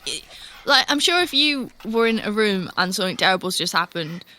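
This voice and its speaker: A woman's voice: medium pitch, expressive, a little fast, sharp tone. A woman improvising in character as a player in a tabletop role-playing game.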